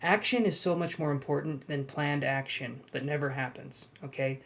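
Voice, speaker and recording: A young man reading tarot on a live stream, chatting and gently reassuring his viewers. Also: fairly high pitch, fairly even intonation, normal speed, vocal fry. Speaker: tarot reader.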